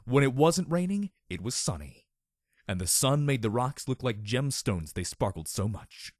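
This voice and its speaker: A man's voice: mid-range voice, big pitch swings, normal speed, a little mellow, no room sound, clean studio sound. A man narrating a story in a theatrical, mock-serious, overly dramatic style.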